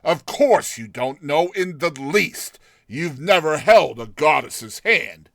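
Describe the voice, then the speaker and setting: A man acts out a lovestruck young man from a classic storybook, effusive and impatient. The voice is fairly high and swings widely in pitch. Words come with bright, dramatic emphasis. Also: lively intonation, takes it slowly, vocal fry, no room sound, clean studio sound, heavily acted. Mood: rapturous, insistent. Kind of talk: dramatized short story reading.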